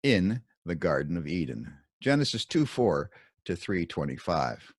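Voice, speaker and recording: A man reading his own scripted Bible commentary as narration, in measured, deliberate short phrases. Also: low-pitched, sing-song, breathy edge, no room sound, studio quality.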